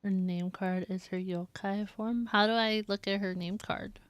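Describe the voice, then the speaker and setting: A woman's voice: medium pitch, fairly even intonation, unhurried, clear, resonant tone, no echo. A woman game streamer giving casual, chatty live commentary in a giggly manner. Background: game music.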